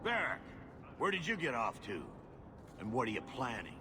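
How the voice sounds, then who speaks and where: A man voicing a gruff, suspicious, money-minded dwarf expedition boss in a video game, blunt and brusque. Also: medium pitch, huge pitch swings, normal speed, noisy background. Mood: irritated. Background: loud music.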